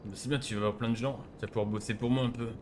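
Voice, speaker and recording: A man's voice: mid-range voice, steady tone, racing, noisy background. A man improvising casual game roleplay as a crime boss, bossy and slangy, with swearing.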